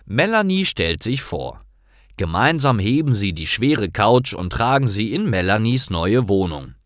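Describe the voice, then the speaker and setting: Man, storyteller, scripted narration, deliberate, lively intonation, very dry close sound. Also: lowish voice, dry recording. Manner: clear, simple, deliberate read-aloud delivery. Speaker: storyteller for German learners. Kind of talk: scripted narration of simple stories.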